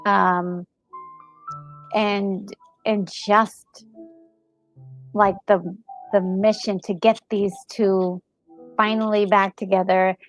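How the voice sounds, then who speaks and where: A woman co-host giving casual reactions, halting as she searches for her words, on a slightly muffled recording. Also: medium pitch, level tone, soft background music. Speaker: gaming co-host.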